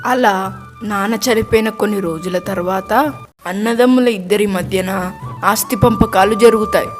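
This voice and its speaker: A woman's voice: mid-range voice, fairly even intonation, moderate pace. A woman narrating a scripted moral story for children. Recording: music under the voice. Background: music.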